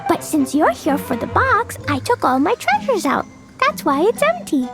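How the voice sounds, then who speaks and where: A woman's voice: very high voice, huge pitch swings, moderate pace, over music, full character voice. A woman voicing an innocent, blunt little child in a video game, with a guileless, matter-of-fact manner. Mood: innocent, helpful.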